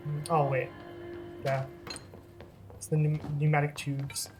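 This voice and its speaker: A let's-play host giving casual gameplay commentary in a relaxed, rambling way with frequent laughs. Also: medium pitch, takes it slowly, music in the background.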